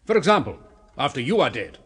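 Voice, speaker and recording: An actor playing a blunt, obsessive neurosurgeon, brisk and persuasive, in a 1970s radio drama. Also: male voice, mid-range voice, big pitch swings, a bit bright, husky, full character voice. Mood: intense, persuasive.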